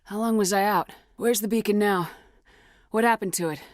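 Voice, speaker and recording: A woman playing a tough, steady female military officer in a video game, speaking with firm, clipped authority. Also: mid-range voice, moderate pace, dry recording, studio quality, lightly acted. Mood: groggy, uncertain. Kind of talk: video game dialogue acting.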